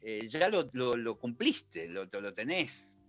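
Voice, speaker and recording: A man's voice: medium pitch, takes it slowly, music in the background. Man hosting a radio talk. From Argentina. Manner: relaxed, colloquial, affirming. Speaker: radio host. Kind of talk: casual radio interview. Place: radio studio.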